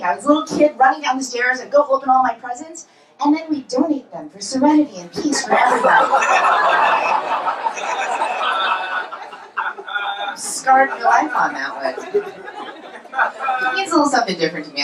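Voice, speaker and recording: A woman's voice: high voice, sing-song, normal speed, pauses often, crowd noise, noisy background. A woman in her forties doing a stand-up comedy set in a club, telling punchy self-deprecating stories. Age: 40s. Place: comedy club in New York. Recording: roomy club sound, from far off.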